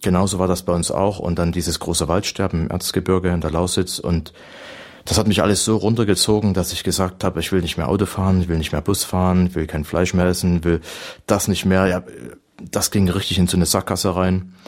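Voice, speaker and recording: A middle-aged man with a broad Saxon accent, a priest talking in a radio studio about his own life. His voice sits very low, and he tells his story in a lively way, with plenty of fillers and self-corrections. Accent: Saxon German. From Saxony, Germany. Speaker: priest giving a talk. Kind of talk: radio talk, personal testimony.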